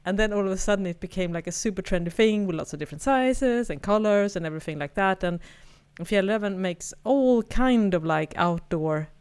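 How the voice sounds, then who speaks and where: A woman with a Swedish accent, co-hosting a podcast in relaxed conversation. Her voice is smooth and clear at a middle pitch. She talks chattily, laughs easily and often restarts her sentences. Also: brisk, few pauses. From Sweden. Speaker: podcast co-host. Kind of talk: casual chat.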